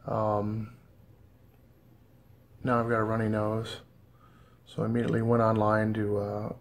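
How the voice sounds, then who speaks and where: Gravelly, congested man in his fifties. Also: completely flat delivery. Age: 50s. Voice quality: a little rough. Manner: low-key, halting, with many "uh"s. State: congested, stuffed up. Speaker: vlogger. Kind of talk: casual home video diary. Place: living room at home by a fireplace. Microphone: phone held at arm's length.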